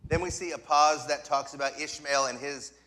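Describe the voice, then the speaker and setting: A man preaching a sermon in short phrases, pausing for an interpreter. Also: fairly high pitch, fairly even intonation, bright, forceful tone, rough recording. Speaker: guest preacher. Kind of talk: sermon in English with live interpretation. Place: church sanctuary.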